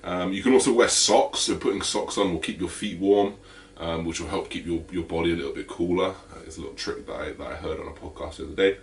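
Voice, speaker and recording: Deep-voiced raspy man. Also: fast, far from the mic. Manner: energetic, chatty, full of fillers. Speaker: fitness vlogger. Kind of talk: casual vlog talk to camera.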